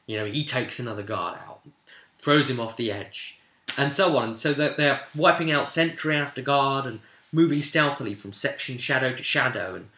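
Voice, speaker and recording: A man hosting a role-playing vidcast as game master, casually recapping a tabletop session in an animated storytelling way with sudden emphatic swells. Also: mid-range voice, normal speed, a little hiss or hum. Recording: roomy, echoing room sound.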